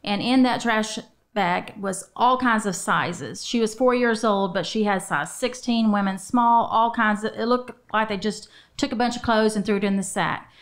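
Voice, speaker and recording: A woman in her forties speaks at a medium pitch. She tells her story in a conversational way as an interview guest, close to a studio microphone. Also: normal speed. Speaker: children's shelter representative, podcast guest. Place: small podcast studio, indoors. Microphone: close studio microphone on boom arm.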